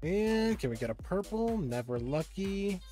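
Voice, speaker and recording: Young male streamer, casual live chat over gameplay, rambling stop-start delivery, lively up-and-down pitch, faint music underneath. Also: measured pace. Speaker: game streamer.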